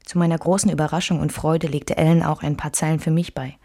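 A woman acting as a self-assured member of the Beat circle, recalling her past in measured, intimate radio play narration. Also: low voice, flat delivery, dark tone, no room sound, clean studio sound. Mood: reflective.

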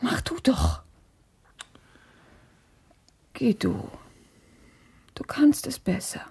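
An actress playing a considerate but bossy young wife in a radio play, breathy and polite yet insistent. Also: female voice, a little high, wildly swooping pitch, takes it slowly, in a whisper, heavily acted. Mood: hushed, insistent. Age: young adult. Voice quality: breathy, often hushed. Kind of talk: German radio play acting.

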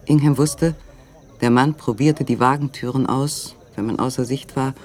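An actor narrating a literary radio play in a measured, matter-of-fact manner. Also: male voice, mid-range voice, steady tone, natural voice. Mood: measured, detached.

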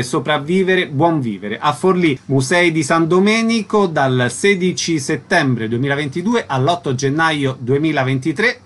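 A man's voice: a little high, fairly bright tone. A man presenting a radio programme, introducing guests and asking short questions in a scripted style. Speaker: radio interviewer and host.

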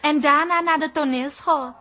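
A woman's voice: high voice, fairly even intonation, normal speed, pushed and bright, soft background music. An actress playing a cheeky, breezy teenage schoolgirl in a Dutch radio drama. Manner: breezy, slangy. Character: cheeky, cool teenage schoolgirl, stage-struck granddaughter.

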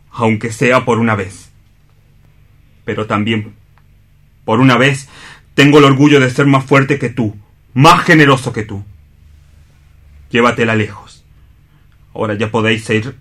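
Male actor, tormented man, bitter, self-pitying, noble, solemn declamation, deliberate phrasing, radio drama. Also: medium pitch, a bit bright. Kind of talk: theatrical radio play reading.

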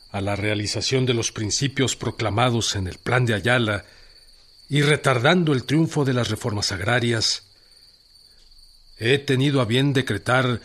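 A man's voice: medium pitch, close to an everyday voice. A man playing a stern revolutionary general. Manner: slow, formal dictation. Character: revolutionary general dictating a decree, commanding. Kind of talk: radio drama acting. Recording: with music underneath.

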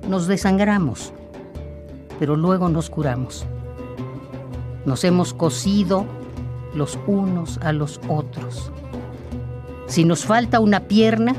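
A woman narrating a poetic scripted piece in a deliberate, insistent, declamatory way. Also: deep voice. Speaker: narrator of a radio capsule. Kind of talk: poetic scripted solidarity narration over music. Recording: guitar music behind the voice. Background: guitar music.

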